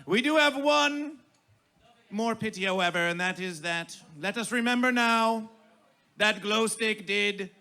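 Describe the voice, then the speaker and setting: A very high-pitched, piercingly bright male festival emcee proclaims everything in a grandiose, chanting way. His delivery is very slow and drawn out, theatrical and repetitive, on a live stage PA with music behind. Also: vocal fry. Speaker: festival master of ceremonies.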